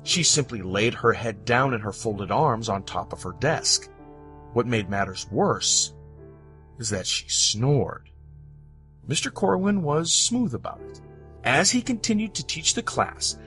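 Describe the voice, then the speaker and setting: A man from the United States narrating scripted personal stories, with dramatic, deliberate phrasing and pauses. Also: medium pitch, a bit bright. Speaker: storyteller. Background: background music.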